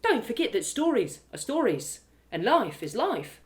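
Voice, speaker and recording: A woman's voice: a little high, big pitch swings, a little slow. A woman voicing a kindly, plain-spoken male cobbler who frets over his friend in a fantasy audio story. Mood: worried, cautioning. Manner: folksy, plain-spoken, protective. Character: kindly gruff working man, cobbler, worried friend.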